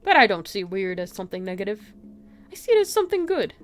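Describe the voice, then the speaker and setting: A woman voicing a gentle, bookish man, a kind outcast scientist in a visual novel, speaking earnestly. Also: mid-range voice, very animated, fast, soft background music, lightly acted. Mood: earnest, fond.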